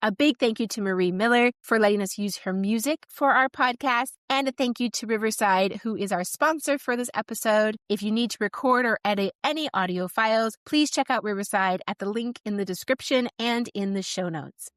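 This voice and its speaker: A woman's voice: a little high, brisk, hardly any pauses, fairly bright tone, no echo, studio quality. A woman hosting a podcast in a conversational, polite way as interviewer.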